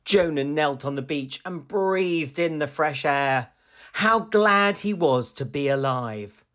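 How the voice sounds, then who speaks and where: Storybook reader, male, slow, animated read-aloud delivery, muffled lo-fi recording. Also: fairly high pitch. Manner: slow, expressive storytelling, rising for excitement. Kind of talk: children's picture book read-aloud.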